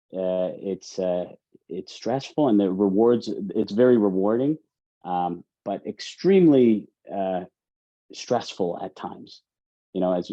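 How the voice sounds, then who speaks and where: A man's voice: on the low side, dark tone. A man in his thirties with a Canadian accent giving casual, chatty interview answers, often repeating himself. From Ontario, Canada. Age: 30s. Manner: chatty, repeats words and phrases. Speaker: mortgage specialist, interview guest. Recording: dull lo-fi audio.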